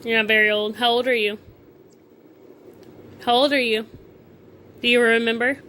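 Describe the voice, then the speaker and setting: Bright-voiced young American woman, casual. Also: a little high, steady tone, moderate pace, a little hiss or hum. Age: 20s. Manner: teasing, repeats answers back. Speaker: vlogger interviewing grandfather. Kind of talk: casual chat, simple questions.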